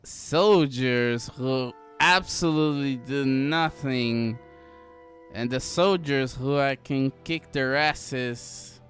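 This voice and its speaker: A man's voice: mid-range voice, takes it slowly, a bit bright, faint music behind. A man giving casual, playful playthrough commentary, talking back to game characters and reading dialogue aloud. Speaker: gamer playing on camera or microphone.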